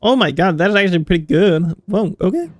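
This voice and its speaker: A man giving casual, halting, low-key commentary on his own video game playthrough, with music behind. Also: lively intonation, no echo.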